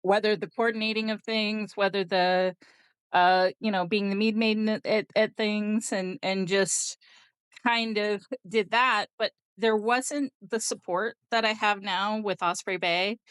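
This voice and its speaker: A woman speaking as a guest in a casual group conversation, reflecting in halting, thoughtful phrases. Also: medium pitch, fairly even intonation, smooth, dry recording. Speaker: podcast guest, heathen hall member.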